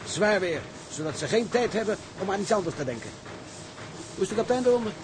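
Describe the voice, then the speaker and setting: High-pitched seasoned seaman, noisy recording. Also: animated, quick pace. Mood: knowing, grave. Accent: Netherlands Dutch. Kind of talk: radio drama acting. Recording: noisy radio drama recording.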